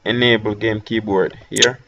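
A man with a Jamaican accent talking through a software tutorial step by step, with hesitant fillers between instructions. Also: fairly low pitch, flat delivery, moderate pace, vocal fry, muffled recording, heavy background noise. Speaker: tech tutorial host. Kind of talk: screen-recorded software tutorial.

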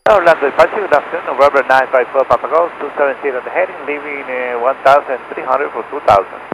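Pilot guy on the radio, creaky voice, quick readbacks, heavy static. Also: mid-range voice. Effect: aviation radio. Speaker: pilot calling air traffic control. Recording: noisy aircraft radio transmission.

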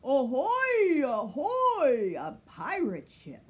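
Boisterous pirate captain guy lady reading him, big drawn out shouts, really swingy pitch, storybook style. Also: huge pitch swings, drawn-out words, full character voice. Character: hearty male pirate captain, boisterous and commanding.

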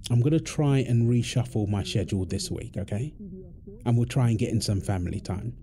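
A man playing an affectionate, tired husband and father in an intimate audio roleplay, talking tenderly with soft endearments. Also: medium pitch, fairly quick, natural voice. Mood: caring, relaxed. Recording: clear background ambient noise.

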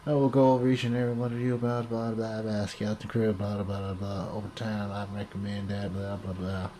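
A man's voice: fairly low pitch, level tone, a little fast. A man giving casual video game commentary, muttering asides to himself as he plays. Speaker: video game player, host. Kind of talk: casual let's play commentary. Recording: noisy home recording over game sound.

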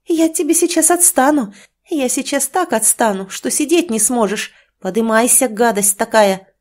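A woman voicing a frantic village mother who scolds loudly. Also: fairly even intonation, moderate pace, close to an everyday voice. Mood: frantic scolding. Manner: shrill scolding, wailing.

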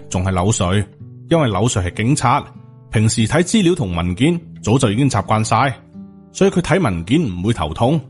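Male audiobook narrator, Hong Kong Cantonese accent, scripted storytelling over background music. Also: medium pitch. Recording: music under the voice.

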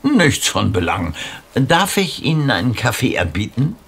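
Radio play role: courteous psychiatrist, reassuring and attentive, animated mid-range voice. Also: male voice, medium pitch, unhurried, creaky, slight background noise, full character voice. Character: courteous, patient, soothing male psychiatrist. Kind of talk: audio drama acting.

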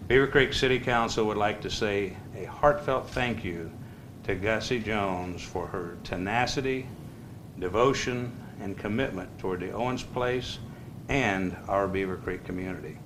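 A man's voice: on the low side, background noise. Man chairing a council meeting. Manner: measured, reads prepared text then chats loosely. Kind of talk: formal meeting chairing and reading a proclamation. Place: council chamber.

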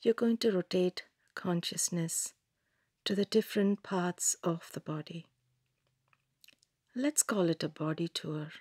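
A woman leads a scripted relaxation practice at a very slow, unhurried pace. Her voice is fairly low and soft, with plenty of breath in it. She gives each instruction calmly and gently, leaving long pauses between phrases. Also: slightly rough, dry recording, clean studio sound. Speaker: yoga nidra meditation guide. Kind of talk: scripted guided meditation narration.

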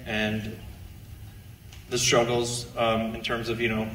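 A man in his thirties talks earnestly and haltingly with a very flat, unvarying pitch. He is on a handheld mic in an echoey theatre, picked up from a distance. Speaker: screenwriter at a premiere Q&A. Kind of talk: halting Q&A answer. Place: large theatre stage. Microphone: handheld stage mic, picked up from afar. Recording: echoey hall, distant camera, background noise.

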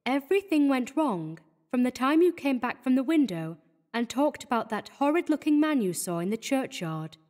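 A man's voice: very high-pitched, normal speed, long reverb tail, pitched above the performer's own voice. A man putting on a pert young woman model. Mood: musing. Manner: lively, chiding.

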